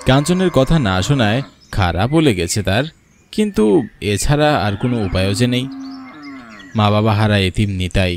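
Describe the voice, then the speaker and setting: A man's voice: fairly low pitch, a little mellow, a little hiss or hum. A man narrating a scripted horror cartoon story. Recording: faint background music. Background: faint music.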